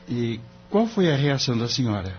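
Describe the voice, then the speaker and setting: A man's voice: mid-range voice, big pitch swings, normal speed, lightly acted. A Brazilian man playing a young, idealistic novice lawyer in an audio drama, polite, earnest and hesitant. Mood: gentle, probing. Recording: dull recording with faint music bed.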